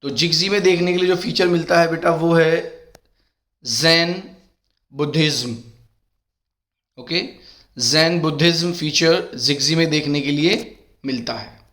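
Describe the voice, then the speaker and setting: A middle-aged man teaches an exam-prep class, his voice sitting a touch higher than most men's. His throat is sore. He reads each question out slowly and warmly calls his students beta. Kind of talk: exam-prep lesson in Hindi mixed with English.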